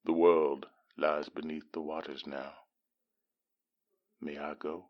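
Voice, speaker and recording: An actor playing a haunted, grieving male survivor of a disaster, speaking in a halting, theatrical, poetic way. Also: very deep voice, level tone, muffled recording. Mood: grieving, solemn. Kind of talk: dramatic monologue in audio drama.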